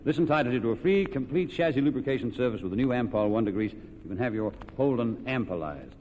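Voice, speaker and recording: A man's voice: medium pitch, expressive, fast talker, darkish tone, muffled recording. A man with an Australian accent hosting an old-time radio quiz show, chatty and folksy, bantering and plugging the sponsor. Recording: vintage radio recording, echoey hall, background noise.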